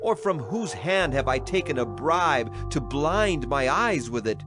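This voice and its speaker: An aging prophet delivers a dramatized scripture oration. His voice sits fairly high for a man, solemn and righteous, stern but caring. Clear music plays behind his declamation. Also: sing-song, unhurried. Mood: earnest challenge. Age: older.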